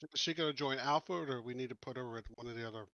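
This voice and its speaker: A man's voice: mid-range voice, very fast, sharp tone, dry recording, dull audio. A man chatting casually as a player in a tabletop game group.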